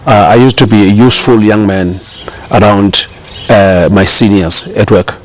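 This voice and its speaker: A middle-aged South African man with a fairly low voice tells his story in a loose, rambling way. He circles back often, full of fillers and restarts, relaxed and unhurried as an interview guest. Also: slight background noise. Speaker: chef, guest. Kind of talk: casual interview talk.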